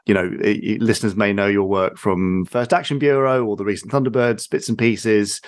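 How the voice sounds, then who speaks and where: A man's voice: on the low side, steady tone, a little fast. Jokey man hosting a podcast. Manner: jokey, teasing. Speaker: podcast host and interviewer. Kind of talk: casual chat and interview.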